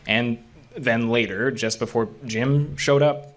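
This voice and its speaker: A man's voice: mid-range voice, fairly even intonation, takes it slowly, lightly acted. A man acting the part of a skeptical but curious park ranger in an audio drama, speaking conversationally with some hesitation. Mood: curious, probing. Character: level-headed, skeptical male park ranger with quiet curiosity. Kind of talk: naturalistic audio drama dialogue.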